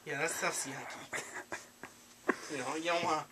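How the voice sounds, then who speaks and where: A man's voice: fairly high pitch, fairly even intonation, moderate pace. A young man making a prank phone call in a rambling, hesitant, overfamiliar way, recorded by a handheld camera in a small room. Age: young adult. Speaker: prank caller. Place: small cluttered room indoors. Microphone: handheld camera a few feet away. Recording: noisy amateur camera audio, clicks.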